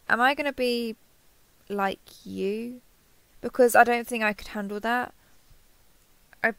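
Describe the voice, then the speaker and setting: A woman's voice: on the high side, a bit bright, dry recording. A woman playing a kindhearted, awkward, easily flustered human in an intimate roleplay audio, hesitant and stammering as she asks curious questions. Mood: anxious, apologetic. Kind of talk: intimate roleplay audio acting, one side of a conversation.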